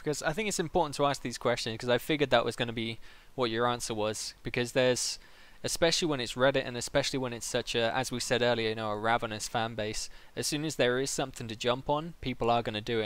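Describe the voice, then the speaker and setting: Male interviewer, livestream host, mid-range pitch, casual chat, conversational questions. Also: quick pace, hardly any pauses, fairly bright tone, smooth.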